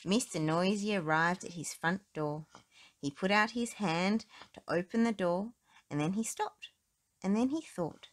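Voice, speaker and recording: A woman reading a children's story aloud as narrator, in an expressive, deliberate storytelling manner. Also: medium pitch. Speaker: storybook reader.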